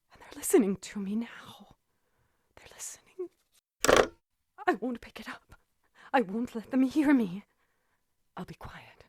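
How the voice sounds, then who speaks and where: A woman's voice: high-pitched, a little mellow, no echo, studio quality, heavily acted. A woman playing a nervous, demanding bedridden wife in an old-time radio thriller, fretful and dramatic. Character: nervous, imperious invalid wife, bedridden, increasingly terrified.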